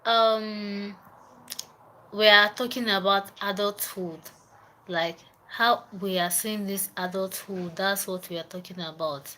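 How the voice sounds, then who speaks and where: Young woman, Nigerian accent, casual live-stream chat, mid-pitched voice, faint hiss behind. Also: fairly even intonation, slow, bright, forceful tone, rough recording. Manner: chatty, repeats herself, greets viewers by name. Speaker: livestreamer.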